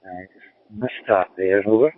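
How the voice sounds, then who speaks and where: A man's voice: fairly low pitch, unhurried. A man with a Scottish accent chatting in a friendly way as an amateur radio operator, heard over a radio link. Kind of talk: casual radio contact. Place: in a car. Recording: tinny, narrow-band digital radio link.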